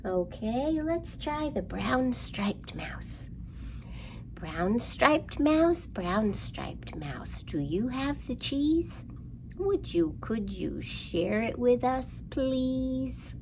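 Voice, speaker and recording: A woman leads a children's storytime at a very unhurried pace. Her voice has noticeable fry, and her delivery is sing-song and full of questions for little listeners. There is faint noise in the background. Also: fairly high pitch, very animated, low-quality recording. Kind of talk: interactive storytime for young children.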